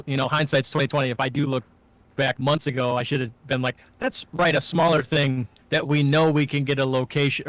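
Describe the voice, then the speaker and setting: A man's voice: medium pitch, fairly bright tone, no echo. A young man co-hosting a podcast in casual chat, rambling and often restarting his sentences. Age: young adult. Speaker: podcast co-host and student filmmaker.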